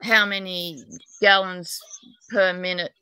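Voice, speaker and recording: A woman's voice: fairly low pitch, steady tone, slow pace, pushed and bright, clear, resonant tone, dry recording. An Australian woman from New South Wales, a psychic and dowser, chatting in a rambling, talkative way and laughing often. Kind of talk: casual podcast chat.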